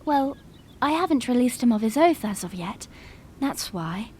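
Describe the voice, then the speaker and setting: A woman's voice: fairly high pitch, sing-song, moderate pace, darkish tone, slight background noise. A woman playing an earnest, dutiful young princess with formal phrasing in a fan audio drama. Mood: defensive.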